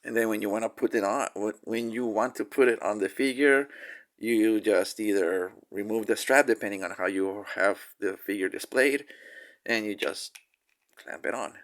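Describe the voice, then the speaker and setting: A man's voice: on the low side, normal speed, a bit bright. A man with a nasal voice from a cold, casually reviewing a toy figure with many fillers and restarts.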